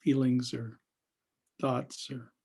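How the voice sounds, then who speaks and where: A man with a husky voice leading an unscripted guided meditation as a teacher, speaking haltingly with long pauses and trailing phrases. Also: medium pitch, flat delivery, mellow.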